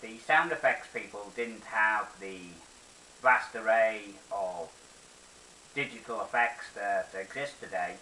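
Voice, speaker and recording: Older male presenter, bright forceful tone, unhurried deliberate delivery, nostalgic monologue. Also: fairly low pitch. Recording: roomy echo, distant mic, faint hiss.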